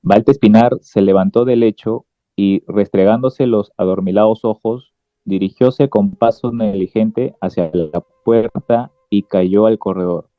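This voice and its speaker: Slow low-pitched male story narrator. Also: fairly even intonation, dry recording. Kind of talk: scripted literary narration for radio drama. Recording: dull lo-fi sound, music behind.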